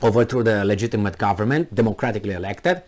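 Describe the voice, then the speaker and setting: A man's voice: on the low side, lively intonation, quick pace, darkish tone. A man with a Romanian accent, originally from Transylvania, delivering an argumentative political monologue full of rhetorical questions. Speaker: commentary channel host.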